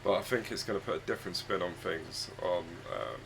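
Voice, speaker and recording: A man with a husky, croaky voice, hoarse from a chest cold, chatting casually and rambling hesitantly while streaming a game from home on a desk microphone. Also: deep voice, brisk, background noise. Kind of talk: casual live-stream chat. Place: home room with his dog.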